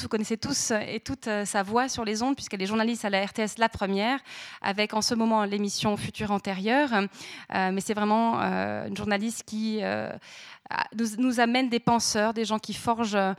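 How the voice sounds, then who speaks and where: A woman, the event organizer, welcoming the guests and the audience in a halting speech full of fillers. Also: a little high. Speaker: event organizer introducing speakers. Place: event hall before an audience.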